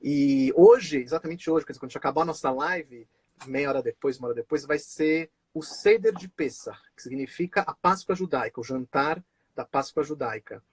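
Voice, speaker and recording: A man's voice: fairly high pitch, fairly quick, slightly rough. A man born in Israel and raised in Brazil, hosting a casual live-stream conversation over a video call, chatty and full of fillers and restarts. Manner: chatty, many fillers and restarts, laughs often.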